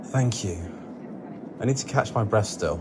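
A man's voice: fairly low pitch, lively intonation, measured pace. A man playing an exasperated, flustered boyfriend in an ASMR audio drama, weary and pleading with his sweetheart. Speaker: voice actor in an audio roleplay. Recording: clear cabin noise behind the voice. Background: vehicle and cabin ambience.

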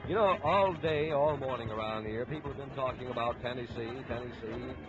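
A man's voice: medium pitch, fairly quick. Man, mid-range voice, folksy halftime sports commentary, 1950s radio, dull sound, marching band behind. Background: marching band music.

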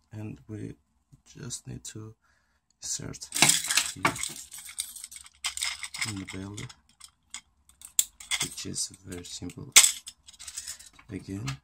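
Slow, gravelly low man. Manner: unhurried, hesitant with many fillers. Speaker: fishing tackle instructor. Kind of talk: casual hands-on tutorial. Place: indoors at a table. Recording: noisy home recording.

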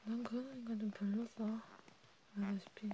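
A woman whispering in a breathy, hushed, intimate way, chatting casually as in an ASMR roleplay. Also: on the high side, moderate pace, rough recording. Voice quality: breathy, mostly unvoiced whisper. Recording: steady background noise.

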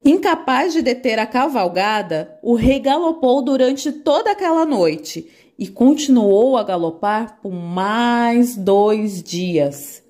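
A woman narrating a children's fairy tale in an expressive, animated way. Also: fairly high pitch, takes it slowly, hardly any pauses. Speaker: storyteller.

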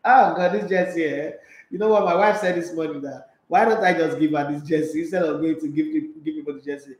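A middle-aged Nigerian man hosting a sports radio talk show, animated and emphatic, mixing pidgin into his English. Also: a little high, quick pace. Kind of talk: live sports talk show, reading viewer comments. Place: small radio studio. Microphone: studio microphone with headphones. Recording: echoey studio room.

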